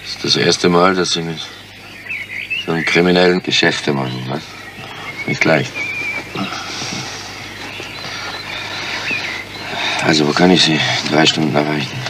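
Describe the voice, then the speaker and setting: An actor playing a stubborn, sardonic criminal in a crime radio play, talking bluntly and guardedly. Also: male voice, rumbling low, steady tone, brisk. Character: hard-boiled male criminal, stubborn, sardonic, bargaining with police. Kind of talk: crime radio drama acting. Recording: noisy old radio play.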